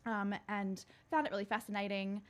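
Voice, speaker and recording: A woman's voice: mid-range voice, little pitch movement, brisk. A young adult woman from Australia, with an Australian accent tinged by New Zealand, talking casually and with self-deprecating humour as a podcast guest. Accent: Australian, partly New Zealand-tinged. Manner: chatty, self-deprecating, laughs easily. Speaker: podcast guest, style coach. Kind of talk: casual chat.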